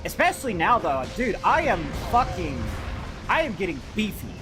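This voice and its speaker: Young man's high, lively streaming voice. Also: moderate pace, over music. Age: young adult. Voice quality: youthful-sounding voice. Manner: informal, rambling, swears freely. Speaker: livestreamer playing a video game. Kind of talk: casual gaming commentary and chat.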